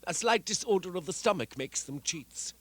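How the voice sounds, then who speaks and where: Man to play a jumpy old miser, high, lively and slow, scoffing then begging. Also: breathy edge, heavily acted. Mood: scoffing, wary. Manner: animated, swinging from scoffing to pleading. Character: old male miser, frightened and doubting. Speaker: narrator and lead actor. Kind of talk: dramatic reading of a classic tale.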